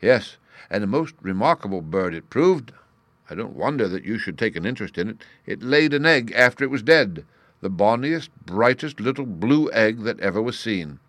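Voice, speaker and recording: A man voicing a confident, shrewd gentleman detective in a storybook reading, precise, genteel and knowing. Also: fairly low pitch, lively intonation, moderate pace, dry recording, voice pushed up. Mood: wry.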